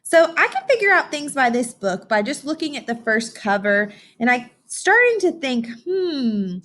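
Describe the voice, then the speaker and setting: A woman's voice: high-pitched, very animated, moderate pace, fairly bright tone, slight background noise. A woman teaching young children in an interactive read-aloud lesson, encouraging and instructional, often putting questions to her listeners.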